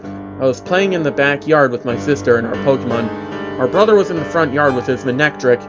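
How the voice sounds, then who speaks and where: A man's voice: mid-range voice, lively intonation, brisk. A man reading a scripted, dramatic story as narrator, on a slightly dull lo-fi recording with music behind him. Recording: dull lo-fi audio under music.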